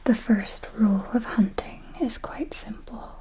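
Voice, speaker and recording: A woman playing a refined, predatory vampire mentor in an ASMR roleplay, whispering in a slow, measured, intimate way. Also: on the high side, fairly even intonation, lightly acted. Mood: coolly instructive. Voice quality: breathy whisper. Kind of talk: ASMR roleplay, scripted monologue.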